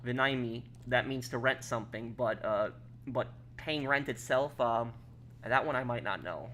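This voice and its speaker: A man's voice: medium pitch, moderate pace, a bit bright, a little hiss or hum. A young American man streaming a game, reading viewer questions aloud and answering them casually, with frequent fillers and restarts. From United States. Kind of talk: casual live-stream chat.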